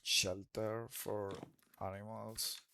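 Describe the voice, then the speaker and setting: A man from Colombia with a Colombian Spanish accent, Spanish as his first language, giving relaxed gameplay commentary while hesitating and searching for words. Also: on the low side, slow pace, vocal fry, dry recording. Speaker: let's play creator.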